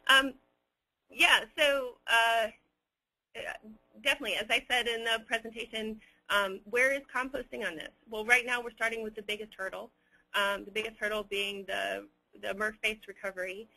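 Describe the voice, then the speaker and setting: A man answering audience questions as a webinar presenter, unscripted, hesitant and halting as he picks his words with care. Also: high voice, steady tone, gravelly, bright, forceful tone, rough recording.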